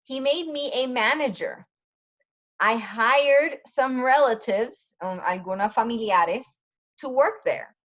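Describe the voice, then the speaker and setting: A woman teacher reading a story aloud for language learners, pausing often to explain words in quick asides. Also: a little high, a bit bright, highs cut off.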